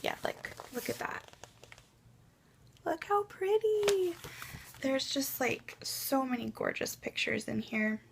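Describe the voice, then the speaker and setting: A woman's voice: high voice, very animated, takes it slowly, vocal fry, pauses often, slight background noise. Chatty young woman, froggy from a cold. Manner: chatty, many ums and likes, soft asides. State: slightly hoarse from a cold. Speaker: beauty vlogger. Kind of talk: casual haul chat to camera. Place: bedroom at home.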